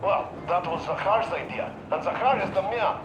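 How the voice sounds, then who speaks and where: An actor playing a cocky, irritable radio operator in a video game, brash and sardonic over a two-way radio. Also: male voice, high-pitched, expressive, moderate pace, muffled recording. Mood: defiant. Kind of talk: dramatic game dialogue. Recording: echoey, noisy radio transmission.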